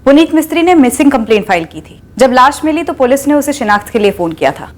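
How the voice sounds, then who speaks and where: A woman's voice: high-pitched, big pitch swings, fast. A woman playing a businesslike police officer briefing her superior in a TV crime drama. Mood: businesslike, serious. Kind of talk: Indian TV crime drama acting. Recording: dramatic music behind the voice.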